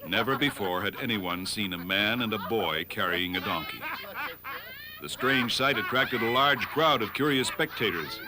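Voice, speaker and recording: A man's voice: lowish voice, animated, normal speed, background noise. A man narrating a scripted fable in storybook style.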